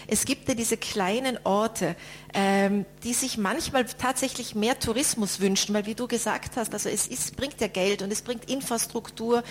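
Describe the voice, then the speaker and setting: Higher-voiced woman hosting a talk. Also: a little hiss or hum. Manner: conversational, many fillers. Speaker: host. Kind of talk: moderating a discussion.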